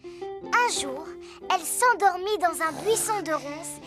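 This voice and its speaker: A performer voicing a cheerful, chatty little girl piglet with bubbly, expressive delivery in a children's cartoon dub. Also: very high-pitched, slow, music in the background, exaggerated voice. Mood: cheerful storytelling.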